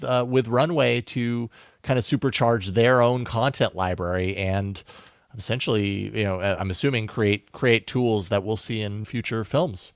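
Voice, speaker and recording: A man's voice: fairly low pitch, vocal fry, clear, resonant tone, no room sound, clean studio sound. A man co-hosting a podcast, talking through tech news casually and conversationally, thinking aloud as he goes. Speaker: podcast co-host presenting news.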